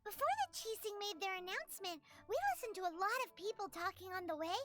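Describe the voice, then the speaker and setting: A performer voicing a small, chirpy female fairy companion with a high, excitable delivery. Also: quick pace. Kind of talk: game voice acting.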